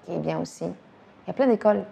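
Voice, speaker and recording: A woman from Belgium in her thirties, talking casually and quickly about music and dance, using slang and repeating words. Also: lowish voice, creaky, heavy background noise. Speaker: actress and director talking about her influences. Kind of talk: casual chat.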